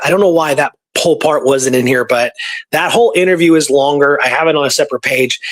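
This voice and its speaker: A man's voice: a little high, a bit bright. A man co-hosting a casual history discussion, informal and keen on his research, sometimes reading from documents. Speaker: co-host and researcher. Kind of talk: casual history discussion with read-out archive passages.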